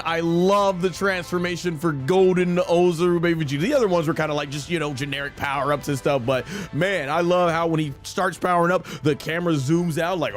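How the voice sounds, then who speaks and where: A man hosting a gaming video, chatting casually and enthusiastically with lots of fillers. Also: on the high side. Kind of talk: casual gameplay commentary.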